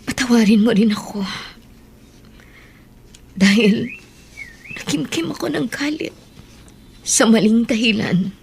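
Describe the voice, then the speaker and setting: Frank older sister, played by an actress. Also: female voice, fairly high pitch, animated, takes it slowly, gravelly, long pauses, slight background noise, exaggerated voice. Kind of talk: radio drama acting.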